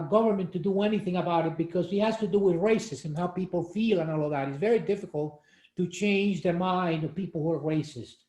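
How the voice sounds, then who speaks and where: A man's voice: high voice, dark tone, reverberant. A man in his sixties, born in Cuba, leading a casual group discussion on a video call, explaining an article with many fillers and restarts. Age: 60s. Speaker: discussion leader.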